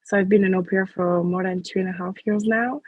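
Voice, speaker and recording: A woman in her twenties from France introducing herself as an au pair, her endings trailing quieter. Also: medium pitch, steady tone, a little fast, no room sound. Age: 20s. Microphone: video call.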